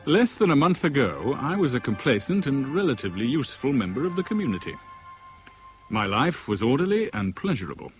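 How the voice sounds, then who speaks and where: An actor playing a wry, orderly British banker in his forties in a 1970s radio drama, measured, formal and articulate. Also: medium pitch, sing-song, faint music behind, lightly acted. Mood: wry, reflective. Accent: British English. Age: 40s. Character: wry, orderly forty-year-old sacked merchant banker, male. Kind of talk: 1970s British radio drama acting, first-person narration. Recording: 1970s radio recording, faint background noise.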